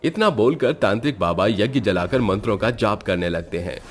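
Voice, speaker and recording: A man's voice: fairly low pitch, animated, normal speed, a little hiss or hum. A man narrating a scripted horror story as a storyteller.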